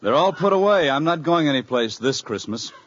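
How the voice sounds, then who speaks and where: A man's voice: a little high, lively intonation, a little fast. A grumpy Santa Claus in old radio comedy. He is stubborn and grumbles curtly, his intonation swinging widely. The recording is muffled and dated. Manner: curt, grumbling. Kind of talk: 1940s radio comedy acting.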